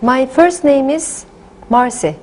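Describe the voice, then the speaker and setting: A woman's voice: sits high, takes it slowly, a little mellow. A woman taking part in a classroom role-play, speaking simple, careful sentences.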